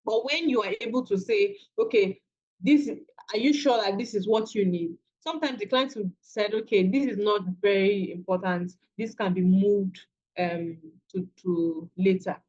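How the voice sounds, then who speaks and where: A woman's voice: medium pitch, moderate pace, a little mellow, highs cut off. A woman with a Nigerian accent, teaching a masterclass in a conversational way and restarting her phrases often. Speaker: virtual assistant trainer. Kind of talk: masterclass lecture.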